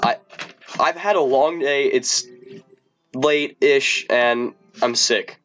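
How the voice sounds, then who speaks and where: A man's voice: takes it slowly, fairly bright tone, faint music behind. A sick man live-streaming, chatting casually to viewers and stumbling over his words. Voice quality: deep voice. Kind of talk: casual live-stream chat and reading aloud.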